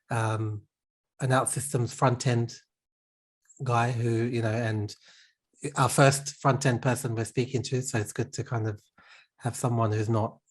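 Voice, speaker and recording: A man hosting a podcast, asking casual questions with lots of fillers. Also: medium pitch. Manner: relaxed, filler-heavy questioning. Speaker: podcast host.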